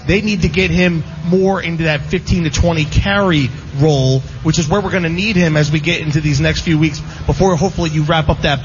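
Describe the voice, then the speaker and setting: A man co-hosting a sports radio show, opinionated and joking in casual talk. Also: fairly high pitch. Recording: muffled, reverberant noisy room.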